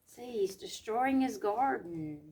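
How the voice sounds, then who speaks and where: A woman reads a storybook aloud to children, pausing to explain simply and ask them questions. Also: mid-range voice, lively intonation, a little mellow, slight background noise. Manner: simple explanatory asides and questions to young listeners.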